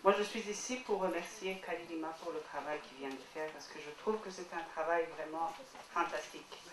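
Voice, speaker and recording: A woman's voice: low voice, fairly quick, background noise. A woman from Rwanda speaking from the audience after a film screening, earnest and repeating herself to make her point. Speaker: audience member. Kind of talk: public Q&A remarks. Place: screening hall. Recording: roomy hall, distant mic.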